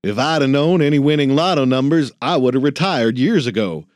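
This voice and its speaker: A man's voice: medium pitch, expressive, a little fast, darkish tone, dry recording, studio quality, pitched above the performer's own voice, lightly acted. A man voicing a grumpy old male janitor who talks bluntly in folksy slang. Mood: gruff.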